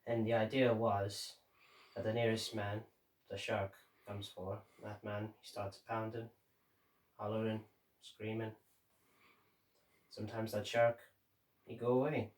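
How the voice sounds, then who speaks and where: A man playing a grim, haunted sailor who survived a sinking, reciting a dramatic monologue in halting, measured phrases with deliberate pauses. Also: on the low side, level tone, darkish tone, close to an everyday voice. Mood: ominous. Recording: roomy echo, distant microphone.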